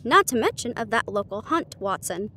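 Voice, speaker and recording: Woman voicing a shrewd male detective, higher-pitched, confident, teasing, theatrical, deliberate, storybook reading, some background noise. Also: animated, pushed and bright. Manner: deliberate, declarative deduction.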